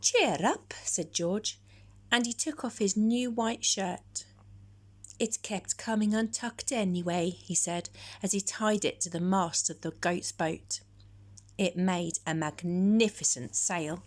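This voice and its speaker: A woman storyteller narrating a children's picture book in a measured, expressive way. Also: lowish voice.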